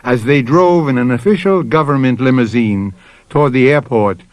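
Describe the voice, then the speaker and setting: A man's voice: mid-range voice, no echo. An American man giving theatrical, ominous scripted narration as the host of a vintage radio mystery series. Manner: dramatic, measured, ominous rhetorical phrasing.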